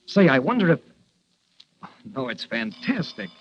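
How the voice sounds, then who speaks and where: An actor playing an earnest young rookie cop and secret crime fighter, brisk and confident, in old radio drama style. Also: male voice, medium pitch, big pitch swings. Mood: intrigued, urgent. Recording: dull, noisy old radio recording.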